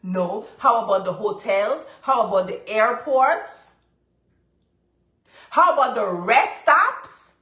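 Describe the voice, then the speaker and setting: A woman's voice: on the high side, expressive, slow pace, pushed and bright. A congested woman hosting a live session who gives motivational money advice. She speaks emphatically, repeats her key points and talks straight to her viewers. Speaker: livestream host. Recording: roomy, echoey room sound.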